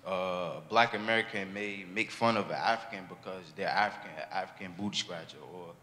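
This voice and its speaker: A man's voice: low-pitched, fairly even intonation, a little fast, sharp tone. A young man speaking thoughtfully in a group discussion. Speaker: discussion participant. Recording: large hall reverb, faint noise.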